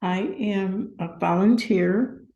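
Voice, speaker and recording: A woman's voice: medium pitch, slow, dark tone, muffled recording. An older retired woman introducing herself as a volunteer on a video call, plain and earnest. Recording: echoey room on a call.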